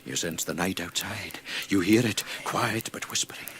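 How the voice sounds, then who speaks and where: An actor in a radio drama playing a seductive, gloating inner voice, speaking theatrically and savouring words with whispery emphasis. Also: male voice, deep voice, normal speed, fairly bright tone. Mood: seductive, coaxing. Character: seductive, gloating inner voice goading a newborn creature.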